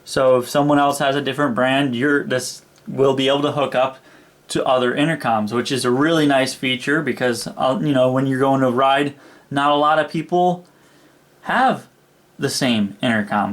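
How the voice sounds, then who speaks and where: A young man with an average-pitched voice talks through a product review. His delivery is casual and keen, and he often repeats a word to stress his point. He sounds like he is chatting to a camera indoors. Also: steady tone, moderate pace. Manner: enthusiastic, informal, repeats words for emphasis. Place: indoors, small room.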